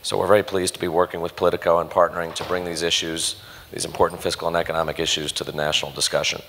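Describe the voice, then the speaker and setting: A man with a hoarse, failing voice giving prepared welcome remarks at a breakfast event. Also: deep voice, flat delivery, rapid-fire, a bit bright, long reverb tail, slight background noise. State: losing his voice, hoarse. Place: conference room at a live breakfast event.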